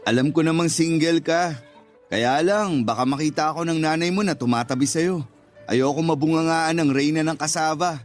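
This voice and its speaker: High-voiced oblivious young man, played by an actor. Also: moderate pace, faint music behind, natural voice. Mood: oblivious, shy. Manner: innocent, puzzled, plain-spoken. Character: oblivious, shy, good-natured young man, a loyal cake customer. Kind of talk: Tagalog radio drama acting.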